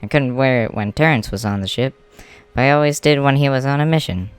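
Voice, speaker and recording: A man's voice: mid-range voice, brisk, clear, resonant tone, natural voice. A man playing a stubborn, polite, workaholic clan leader in an amateur comic dub, talking in an easygoing, emphatic way. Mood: explaining, proud. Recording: music behind the voice.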